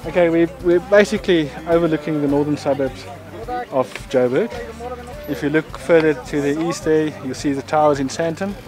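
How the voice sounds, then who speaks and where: A man's voice: on the high side, moderate pace, music in the background. A man, a local guide, casually pointing out landmarks from outdoors, with easy fillers. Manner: relaxed, pointing things out with fillers. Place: outdoors on a hilltop.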